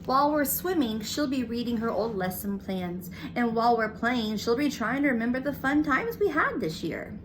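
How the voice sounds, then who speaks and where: A woman's voice: fairly high pitch, expressive, moderate pace, few pauses, noisy background, pitched above the performer's own voice, close to an everyday voice. A woman voicing eager, earnest young schoolchildren from a storybook, chatty and a little wistful.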